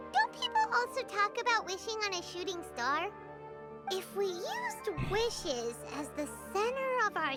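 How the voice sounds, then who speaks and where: An excitable little fairy sidekick from a video game. The voice is squeaky and childlike, pitched extremely high and swinging in lively exclamations. Prominent game music plays underneath. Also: female voice, full character voice. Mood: thoughtful. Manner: exclamatory, speaks of self in third person. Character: tiny floating fairy companion girl, bubbly, food-loving, excitable. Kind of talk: animated video game voice acting. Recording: game music playing behind. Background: loud playful game music.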